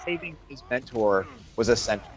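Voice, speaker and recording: A man speaking as a game developer guest, explaining creative choices in a measured way during an interview. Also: medium pitch, expressive.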